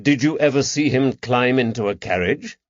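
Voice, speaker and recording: A man playing a patient, careful detective in an audiobook mystery, measured and gently firm as he questions. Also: medium pitch, no room sound, muffled recording, close to an everyday voice. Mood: probing.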